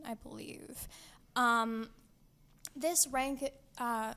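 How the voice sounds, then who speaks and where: A woman's voice: on the high side, fairly bright tone. A young woman chatting casually as a podcast guest, explaining things in a playful, slangy way.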